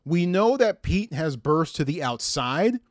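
Animated man hosting sports talk. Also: on the high side, unhurried, no room sound, clean studio sound. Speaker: podcast host.

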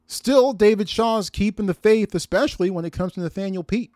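A man's voice: sits high, a little fast, a little mellow, no room sound, studio quality. A man hosting a sports talk podcast, chatting conversationally and repeating words for emphasis. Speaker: podcast host.

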